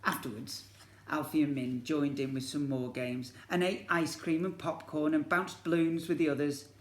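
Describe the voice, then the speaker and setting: A man's voice: fairly high pitch, normal speed. Man reading a children's story, echoey room. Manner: expressive, addresses young listeners with questions. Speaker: infant school teacher reading to pupils.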